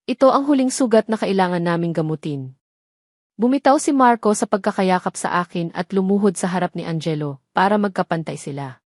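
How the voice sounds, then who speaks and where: A woman's voice: mid-range voice, a little mellow, no room sound, studio quality. A woman with a Filipino accent reads a scripted romance story as a narrator, in a sentimental storytelling way.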